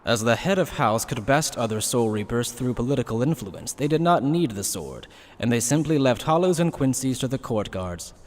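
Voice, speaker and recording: A man's voice: mid-range voice, animated, normal speed, few pauses, long reverb tail. A man narrating a dramatic scripted fantasy action story.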